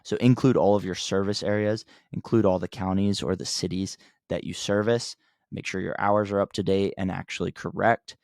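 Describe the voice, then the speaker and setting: A man's voice: low-pitched, steady tone, normal speed, gravelly, a little mellow, dry recording, clean studio sound. A man hosting an unscripted marketing tutorial, talking in a plain-spoken, conversational way as he gives emphatic advice. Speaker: host of marketing tutorial for contractors. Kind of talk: casual unscripted instructional talk.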